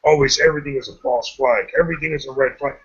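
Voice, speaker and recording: A man's voice: mid-range voice, fast, fairly bright tone, husky, highs cut off. A man hosting a casual online voice chat, laid-back and swearing often.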